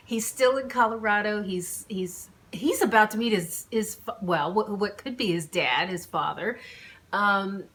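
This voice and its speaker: A woman's voice: mid-range voice, measured pace, a bit bright, a little hiss or hum. Informal woman author hosting a reading channel. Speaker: author and channel host reading own novel. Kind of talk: chatty vlog intro, then audiobook narration.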